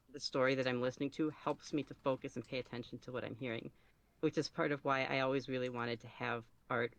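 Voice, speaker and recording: A man giving casual podcast interview answers as a guest, halting and thinking aloud as he goes. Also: medium pitch, vocal fry, fairly bright tone. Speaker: podcast guest, ASMR roleplay creator.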